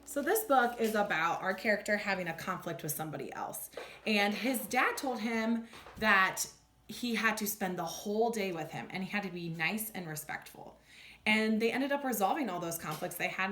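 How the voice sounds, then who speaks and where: A woman's voice: mid-range voice, normal speed. A woman reading a storybook aloud like a teacher, expressively acting out the lines. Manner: animated, clearly acts out lines. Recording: echoey room with faint background noise.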